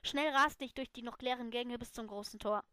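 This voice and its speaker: A woman reading her own fan fiction aloud as an amateur, in expressive first-person narration. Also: fairly high pitch, a little fast, smooth, no room sound, studio quality.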